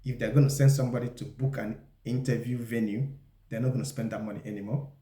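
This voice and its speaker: Guy doing a how-to video, soft dark voice, explains stuff step by step, kinda echoey. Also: medium pitch, little pitch movement, fast talker. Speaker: video presenter giving job interview advice. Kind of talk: instructional talk to camera. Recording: echoey, roomy sound.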